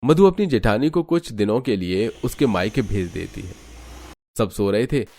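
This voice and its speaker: A man narrating an animated moral story in a scripted storytelling style. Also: on the low side, normal speed, soft background music.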